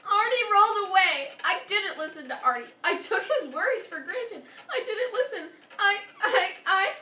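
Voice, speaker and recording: A woman's voice: very high voice, animated, normal speed, sharp tone, pitched above the performer's own voice, full character voice. An earnest camel hero from a storybook folktale, acted out by a woman. The voice swings widely with emotion, exclaiming and pleading. It sounds roomy and a little distant from the mic. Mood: distraught, pleading.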